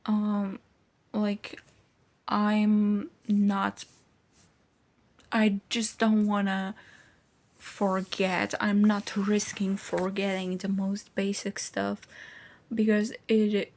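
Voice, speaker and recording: A woman's voice: medium pitch, monotone, extremely slow, long pauses, darkish tone. A woman, a student vlogger chatting casually in a rambling, informal way. is her language. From Poland. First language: Polish. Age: early 20s. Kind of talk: casual chat.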